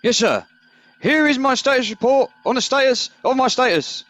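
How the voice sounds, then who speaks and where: A man's voice: very high-pitched, animated, normal speed, fairly bright tone, dry recording. A man in an amateur comedy radio play playing an eager, muddled old corporal who rambles and repeats himself deferentially. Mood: dutiful, flustered.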